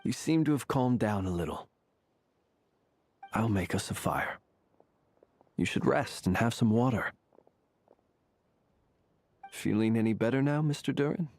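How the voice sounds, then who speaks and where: An actor playing a solemn, courteous, centuries-old gentleman in a video game, speaking husky, measured, formal lines. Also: fairly low pitch, animated. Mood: courteous, calm. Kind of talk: video game character acting.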